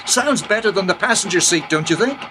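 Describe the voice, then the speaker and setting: A man's voice: high voice, expressive, fairly quick, background noise. A man playing an eccentric older grandfather inventor in a radio play, speaking in theatrical, old-fashioned formal phrases. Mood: eager. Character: eccentric grandfather inventor, cryptic, eager time-traveller.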